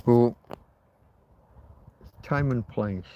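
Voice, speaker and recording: A man's voice: medium pitch, extremely slow, muffled recording, background noise. A man in his fifties hosting a rambling, casual livestream outdoors, talking bluntly and swearing freely. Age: 50s. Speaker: livestream host. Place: outdoors in a field.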